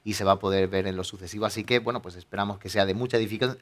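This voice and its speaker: Joking pastor hosting. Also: male voice, lowish voice, fairly even intonation. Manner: friendly, joking, drawn-out fillers. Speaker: pastor and program host.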